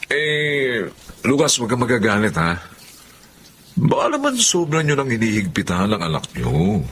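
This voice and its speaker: A man's voice: medium pitch, sing-song, normal speed, fairly bright tone, breathy edge. A man playing a friendly, frank, lenient neighbour who probes tactfully in a Tagalog radio drama. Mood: concerned, questioning.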